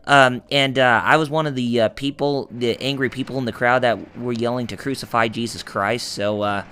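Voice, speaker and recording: Male let's-play host, hoarse and raspy, chatty and rambling; bright tone. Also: medium pitch, steady tone, moderate pace. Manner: rambling, full of fillers and restarts, jokey. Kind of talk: gameplay commentary.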